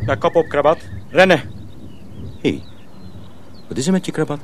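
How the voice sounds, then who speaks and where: An actor playing an anxious, good-natured young apprentice in a radio drama. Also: male voice, fairly high pitch, very animated, moderate pace. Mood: urgent, worried. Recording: radio play, faint background noise.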